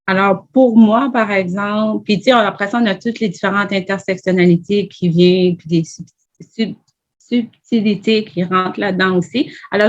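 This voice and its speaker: A woman, a podcast guest speaking earnestly in a panel discussion. She builds her points one after another and presses with questions. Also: medium pitch. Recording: dull lo-fi audio.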